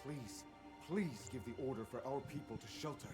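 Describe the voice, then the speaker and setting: A man's voice: medium pitch, normal speed, music in the background, close to an everyday voice. An actor playing an earnest, solemn villager in a video game, speaking like someone pleading with his elder.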